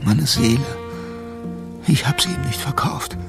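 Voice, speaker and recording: A dying jazz saxophonist in a radio drama, feverish and anguished. The pleading, whispery voice is slow and creaky, raised from a low register, over music. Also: male voice, animated. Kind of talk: German radio drama acting. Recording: music behind the voice.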